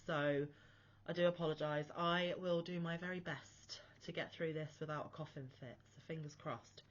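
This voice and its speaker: A woman's voice: deep voice, fairly even intonation, normal speed, lo-fi sound. A woman hosting a crochet channel, chatting casually to camera while unwell, a bit wheezy and chesty, with a rambling, self-deprecating manner.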